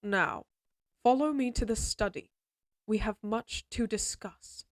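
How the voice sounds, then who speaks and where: A woman's voice: a little high, a little slow, dry recording, natural voice. Woman acting a stern, imperious noble mother. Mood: softened. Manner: formal, clipped, commanding. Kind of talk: scripted fantasy audio roleplay acting.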